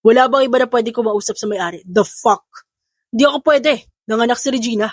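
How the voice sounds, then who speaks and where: A woman's voice: a little high, a little fast, no room sound, studio quality, natural voice. A woman playing a serious, protective businessman and new father in an audio drama. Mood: alarmed, irritated. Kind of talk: Tagalog audio drama acting.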